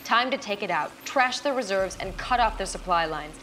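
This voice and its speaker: An actress playing a brisk, stern military officer giving mission briefings in a video game. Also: female voice, medium pitch, expressive, fairly bright tone, lightly acted. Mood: grudging approval. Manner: firm, crisp briefing. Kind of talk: video game cutscene acting. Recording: faint ambient noise behind.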